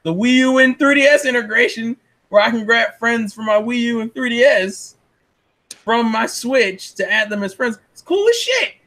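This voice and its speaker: A man's voice: extremely high pitch, lively intonation, normal speed, bright, forceful tone. A man debating casually as a podcast co-host, complaining in an incredulous way and swearing freely.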